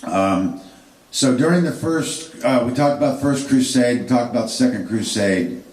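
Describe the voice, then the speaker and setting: A man's voice: mid-range voice, animated, moderate pace, a little mellow. A man giving an unscripted history lecture, rambling with fillers and restarts. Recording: echoey room, distant mic.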